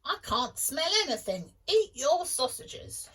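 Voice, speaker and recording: A woman voicing a kindly, fussy giant's wife who brushes off her husband in a high, brisk voice. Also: big pitch swings, full character voice, poor audio. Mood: chiding.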